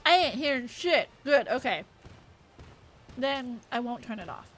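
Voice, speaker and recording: A woman's voice: fairly high pitch, lively intonation, measured pace, a bit bright, faint music behind. A young woman livestreaming a game, chatting playfully and casually as she reacts aloud. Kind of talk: casual gaming stream chat. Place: at home, live stream. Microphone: streaming microphone.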